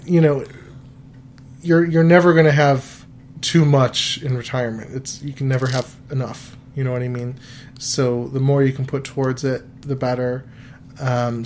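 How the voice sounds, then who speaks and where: A man in his thirties hosting a podcast, giving financial advice in a relaxed, rambling way full of fillers and restarts. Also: mid-range voice, moderate pace, a little hiss or hum. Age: 30s. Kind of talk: casual podcast chat.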